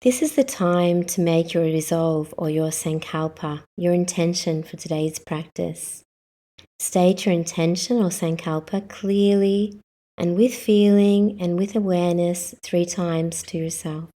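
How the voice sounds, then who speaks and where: A woman guiding a yoga nidra meditation as the instructor, speaking calmly in short, measured instructions. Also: lowish voice. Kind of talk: guided meditation.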